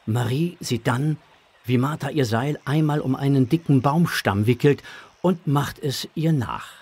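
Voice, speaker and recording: Husky male storyteller. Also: mid-range voice, unhurried, a little mellow. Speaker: narrator. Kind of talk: scripted narration of a children's audio drama.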